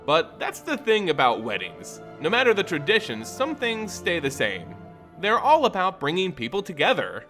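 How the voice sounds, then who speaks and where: A man voicing a calm, wise, gently amused male character in a fantasy story. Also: sits high, normal speed, soft background music, full character voice.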